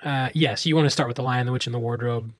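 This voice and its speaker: A man's voice: mid-range voice, fast. A man co-hosting a podcast, chatting casually about books and feeling his way through sentences with filler words. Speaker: podcast co-host.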